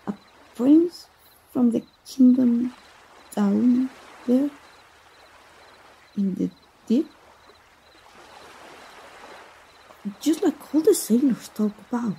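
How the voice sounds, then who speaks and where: A woman playing a tender, lovestruck young princess in a romantic ASMR roleplay, speaking softly, haltingly and with feeling. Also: sits high, slightly rough, exaggerated voice, rough recording. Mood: astonished, hesitant. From Mexico. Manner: halting, emotive, with gasps and sighs. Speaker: voice actor.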